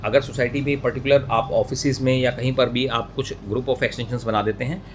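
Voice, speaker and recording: A man's voice: mid-range voice, fairly even intonation, normal speed. Indian man explaining, with noisy background. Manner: mixes English technical terms into speech, repeats and rephrases. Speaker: YouTube tutorial presenter. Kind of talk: step-by-step technical tutorial, explanatory. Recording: clear background noise, room ambience.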